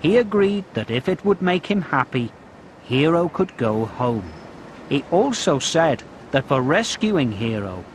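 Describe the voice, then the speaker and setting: Animated male children's storyteller, unhurried pace. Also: fairly high pitch, a little hiss or hum. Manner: expressive, simple storytelling addressed to small children. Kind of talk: scripted storybook narration with interactive prompts for young children.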